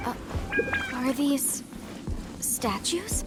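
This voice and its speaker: A woman's voice: high-pitched, big pitch swings, very slow, loud music behind the voice, exaggerated voice. An actress voicing a principled, earnest young female archaeologist in a video game. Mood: uneasy.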